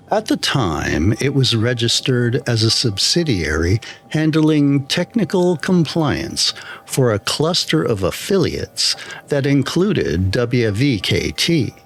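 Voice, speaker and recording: A man narrating a scripted first-person horror story in a measured, deliberate, solemn manner. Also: mid-range voice. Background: background music.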